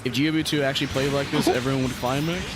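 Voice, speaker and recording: A man's voice: mid-range voice, fairly even intonation, racing, noisy background. Young guy w a mid voice, joking around crude while gaming. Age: young adult. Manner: teasing, crude joking banter. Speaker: co-host playing a video game. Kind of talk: casual gaming chat. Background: game music and sound effects.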